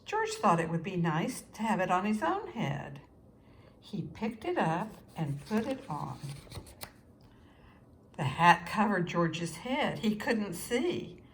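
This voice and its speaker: A woman reads a storybook for young children at an unhurried pace. Her pitch moves around a great deal, and she leaves deliberate pauses. There is faint noise behind her. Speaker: storybook reader. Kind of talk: scripted children's story narration, read aloud.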